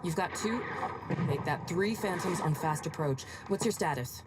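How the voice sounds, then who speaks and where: A woman's voice: on the low side, animated, normal speed, natural voice. An actress voicing a tense female dropship pilot in a video game, with urgent, clipped radio talk. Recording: loud noisy game battle audio.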